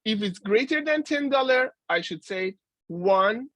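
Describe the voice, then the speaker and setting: A man in his forties delivers a university lecture at an unhurried, careful pace. He often stops mid-phrase and starts again, filling the gaps with hesitations. The sound is dry and close, with no room echo. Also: high voice, animated, bright, forceful tone. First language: Persian. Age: 40s. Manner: halting, with many restarts and fillers. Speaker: guest lecturer.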